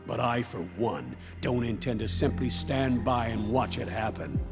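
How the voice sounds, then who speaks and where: An earnest, brainy ally in a video game briefing. His mid-range voice has a creaky edge. He lays things out in measured, serious sentences over clear background music. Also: medium pitch, lively intonation. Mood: earnest, grave. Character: earnest, brainy adult male tech ally. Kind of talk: dramatic video game cutscene exposition. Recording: music behind the voice.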